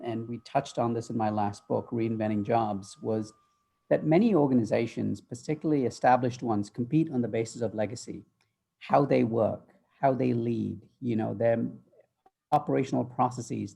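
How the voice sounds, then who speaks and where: A middle-aged man speaks as a guest on a video-call interview, his voice deeply gravelly and a bit husky. His tone is dark and mellow, and he answers in a thoughtful, fluent way, dotted with ums. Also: normal speed. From Malaysia, raised in the UK. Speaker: guest, future-of-work author. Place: home office.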